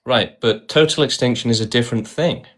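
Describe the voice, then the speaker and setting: A man's voice: medium pitch, fairly quick, fairly bright tone, a little hiss or hum. A man hosting a debate stream, arguing insistently and often tagging his points with "right?". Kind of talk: argumentative debate.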